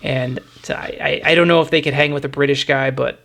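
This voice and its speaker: A man's voice: a little high, fairly even intonation, brisk, gravelly, a bit bright. A man hosting a casual music discussion, talking in a rambling, opinionated way. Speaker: music podcast host.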